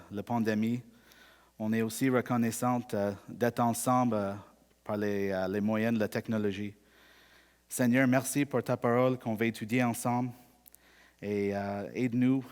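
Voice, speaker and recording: English-speaking Canadian man preaching, reverberant hall. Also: mid-range voice. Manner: measured and deliberate, slows for scripture reading. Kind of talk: sermon.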